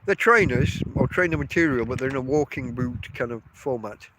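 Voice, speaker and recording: A man's voice: medium pitch, brisk, fairly bright tone, slight background noise, poor audio. A middle-aged man with a stuffy nose, rambling through a solo podcast outdoors by his tent. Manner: rambling, chatty, self-interrupting. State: congested from a cold. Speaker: podcast host. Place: outdoors at a tent camp in a field.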